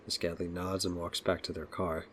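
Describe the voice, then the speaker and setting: A man's voice: deep voice, level tone, moderate pace, slight background noise. A man reading scripted narration for an audio drama.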